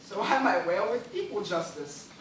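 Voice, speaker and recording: An actor playing a weary, formal gentleman castaway in an amateur stage play, declaiming with stubborn reason and despair. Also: high voice, expressive, moderate pace. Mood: grim, reasoning. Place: theatre stage, recorded from audience. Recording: echoey stage, distant mic, noisy.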